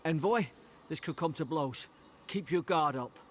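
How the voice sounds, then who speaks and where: A man's voice: on the high side, measured pace, a little hiss or hum, close to an everyday voice. An actor playing a concerned, cautious male adventuring companion in a video game, speaking in an earnest, wary way. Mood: urgent, wary. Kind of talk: video game character acting.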